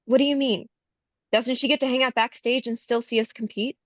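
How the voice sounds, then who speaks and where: A woman's voice: on the high side, fairly even intonation, brisk, no echo, muffled recording, lightly acted. A woman playing an anxious, insistent young beauty queen with an urgent manner, in a screenplay table read. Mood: worried, insistent. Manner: urgent, pressing.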